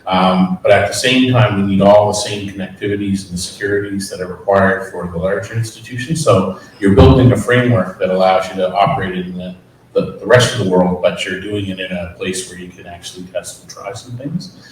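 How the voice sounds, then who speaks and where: A low-voiced man speaks on a panel, relaxed and lightly joking, with plenty of uhs. The mic sits far from him in a very echoey room, with a faint hiss underneath. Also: fairly quick. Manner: easygoing, joking, with uh and um fillers. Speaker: credit union executive. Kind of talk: panel discussion.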